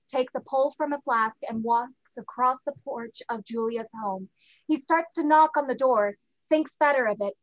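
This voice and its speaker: Woman narrating a play script, a bit high pitched, dry room. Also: no room sound, highs cut off. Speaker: stage directions reader. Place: home room, video call.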